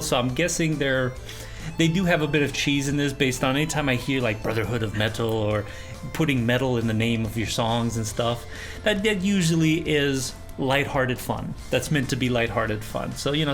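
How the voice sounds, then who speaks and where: A music reaction co-host talks in a casual, slangy way, swearing and joking along. The voice sits at a medium pitch, with music faintly behind it. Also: a little fast.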